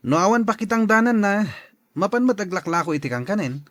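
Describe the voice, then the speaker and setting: Man acting an animated, scheming drug dealer. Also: high voice, a little fast, dry recording, clean studio sound. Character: scheming, jovial male drug dealer luring poor neighbours. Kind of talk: audio drama acting.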